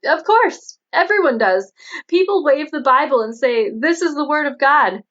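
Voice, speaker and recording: A woman's voice: high voice, animated, normal speed, a bit bright, voice pushed up. A woman voicing an eccentric, devout young woman in an audiobook, guileless and matter-of-fact about absurd claims. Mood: dogmatic, assured. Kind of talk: audiobook character dialogue. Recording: dull lo-fi audio.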